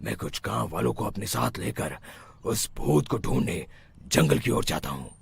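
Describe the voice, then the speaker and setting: A man's voice: on the low side, sing-song, normal speed, vocal fry, slightly rough, heavily acted. A man voicing stern older village men in a cartoon story, emphatic and imperious. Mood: commanding. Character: stern older village men: father-in-law, landlord, exorcist.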